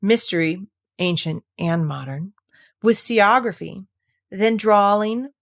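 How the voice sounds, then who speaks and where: A woman's voice: medium pitch, slow, vocal fry. A woman voicing a self-pitying, touchy male turtle creature from a storybook, sighing and doleful as it speaks. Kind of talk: storybook character voice.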